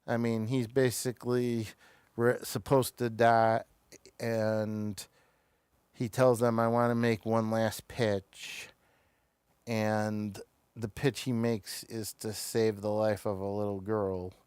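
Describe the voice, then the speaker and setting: A man hosting a show, chatting casually through reviews in a halting, stop-and-start way. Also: fairly low pitch, level tone, darkish tone, smooth, dry recording. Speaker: show host reviewing television episodes.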